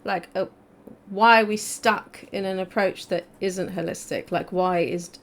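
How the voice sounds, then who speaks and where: A woman's voice: medium pitch, fairly even intonation, unhurried. A woman hosting a live interview over a video call, friendly and chatty, with many "like" fillers. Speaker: host. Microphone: video call mic.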